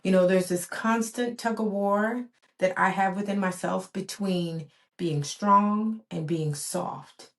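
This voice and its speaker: A woman's voice: medium pitch, slow pace. A woman in her forties, born in Barbados and raised in the US, hosting a reflective solo podcast in an earnest, rhythmic way with repeated emphatic phrases. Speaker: podcast host.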